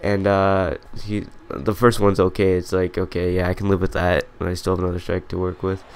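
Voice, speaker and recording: A man's voice: low-pitched, level tone, fairly quick, clear, resonant tone. A young man with a cold and a congested voice, rambling through casual gameplay commentary with many fillers and self-corrections. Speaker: gaming commentator.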